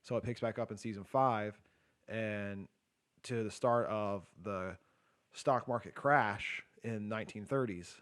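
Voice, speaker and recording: Low-voiced young man rambling through a retelling. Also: unhurried. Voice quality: self-described low, quiet voice. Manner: rambling retelling, heavy filler "like", swearing. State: short of breath. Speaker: podcast co-host. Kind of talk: casual chat.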